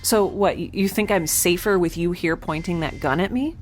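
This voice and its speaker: A woman's voice: on the low side, moderate pace, darkish tone, a little hiss or hum, lightly acted. Woman playing a plucky, informal space scientist. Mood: wry, impatient. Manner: casual, chatty, hesitant. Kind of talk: scripted audio drama acting.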